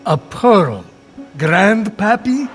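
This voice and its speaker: A man playing an exasperated, skeptical titan storyteller in a video game, with a sardonic, drawn-out delivery. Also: high-pitched, sing-song, a bit bright, soft background music, heavily acted. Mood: doubtful. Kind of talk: comic game narration.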